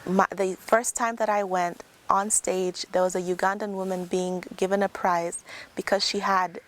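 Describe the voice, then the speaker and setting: A young woman from Tanzania, a software engineer, giving a prepared talk in an earnest, conversational way. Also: mid-range voice, flat delivery, normal speed. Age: young adult. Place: outdoors.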